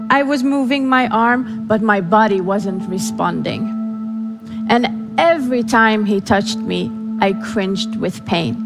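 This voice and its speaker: A woman with a Farsi first language tells a personal story from a stage, her voice a little high. She acts out the people she quotes with drama. The sound rings on in a large hall, with faint music underneath. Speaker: speaker. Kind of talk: storytelling speech. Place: event hall.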